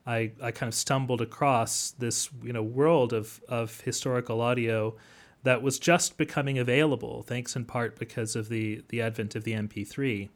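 Halting, thoughtful man being interviewed. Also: mid-range voice, steady tone. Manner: halting, thoughtful, wordy explaining. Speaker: guest, academic author. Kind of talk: interview conversation.

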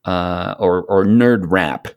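Deep-voiced man, enthusiastic rambling talker. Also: slow, smooth. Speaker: podcast guest, voice of an audio show. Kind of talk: casual conversational interview.

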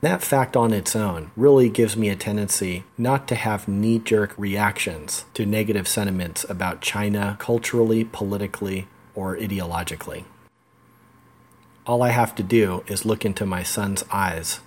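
A man hosting an interview, reading an earnest, measured introduction. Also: fairly low pitch. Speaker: podcast host and interviewer. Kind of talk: scripted introduction then casual interview.

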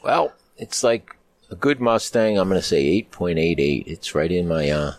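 A man's voice: deep voice, expressive, moderate pace, dry recording. A man chatting casually in a wry, opinionated way. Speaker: podcast panelist. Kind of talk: casual chat.

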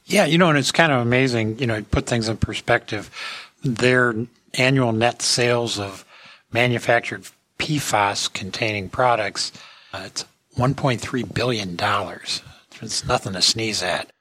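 A man's voice: medium pitch, a little slow, pushed and bright. A man hosting a podcast with casual industry commentary, speaking to listeners in a folksy way. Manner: folksy, addressing listeners as friends.

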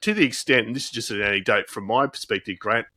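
A man hosting a conversational interview, speaking haltingly and in an agreeable, approving way. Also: fairly low pitch, pushed and bright, slightly rough, dry recording, studio quality. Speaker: interview host.

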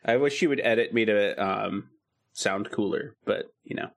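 Laid-back guy cohosting a podcast, lowish voice, lots of ums. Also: moderate pace, creaky. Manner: laid-back, enthusiastic, filler-heavy. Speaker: podcast co-host. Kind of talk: casual chat.

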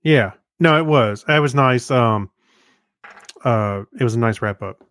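A man's voice: mid-range voice, unhurried, a bit bright, clear, resonant tone, no echo. A man co-hosting a podcast, giving informal opinions in a rambling, hesitant, conversational way. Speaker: podcast co-host. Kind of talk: casual chat, informal reviews.

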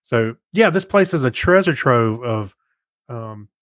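A man co-hosting a podcast with casual, informal talk in a somewhat halting manner. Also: mid-range voice, lively intonation, a bit bright, no room sound, studio quality. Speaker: podcast co-host.

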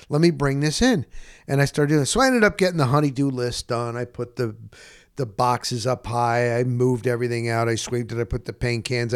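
A middle-aged man telling a personal story casually as a broadcast host, acting out the conversations he recounts in an animated way. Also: medium pitch, no room sound, studio quality.

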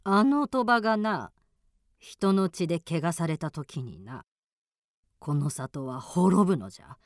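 An ancient, prophetic old woman of the mountains in a radio drama. She speaks very slowly in archaic, weighty phrases, with wide melodic swings. The voice is rather low and recorded dry. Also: expressive, no room sound. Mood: cryptic, calm.

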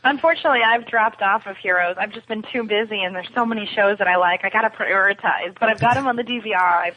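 Female guest, medium pitch, informal, dull lo-fi call audio. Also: fast. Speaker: podcast guest. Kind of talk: casual panel chat. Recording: band-limited, dull remote call sound.

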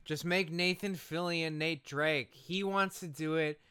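A man with a clean, smooth voice that has a bright edge. He chats as a podcast co-host, giving opinions in informal, slangy language. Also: a little high, steady tone, a little slow, no room sound. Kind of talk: casual podcast chat.

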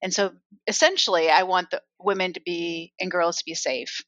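An American woman, a guest author and coach, answering interview questions in a reflective, unhurried way with many fillers. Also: fairly low pitch, fairly even intonation, a bit bright, no room sound. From United States.

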